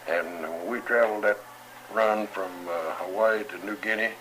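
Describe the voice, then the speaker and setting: A man's voice: lowish voice, steady tone, moderate pace, background noise. An elderly man, a war veteran, reminiscing in a plain, matter-of-fact way for an oral history. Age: 80s or older.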